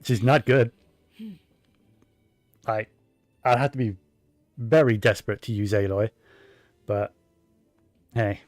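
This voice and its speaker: A man's voice: fairly low pitch, takes it slowly, long pauses, no room sound, soft background music. A man giving casual, rambling commentary while playing a video game, with plenty of fillers and restarts. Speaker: gaming streamer.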